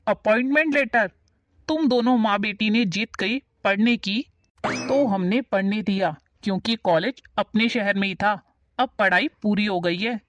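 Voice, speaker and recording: A woman's voice: mid-range voice, no echo, close to an everyday voice. A woman doing a stern, controlling uncle. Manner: stern, dismissive, laying down rules. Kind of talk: animated family drama dubbing.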